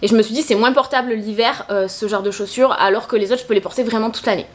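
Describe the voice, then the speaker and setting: Chatty woman vlogger with a cold-stuffed voice, quick delivery, rambling with hesitations. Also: fairly high pitch, fairly even intonation. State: congested with a cold. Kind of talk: casual shopping haul chat.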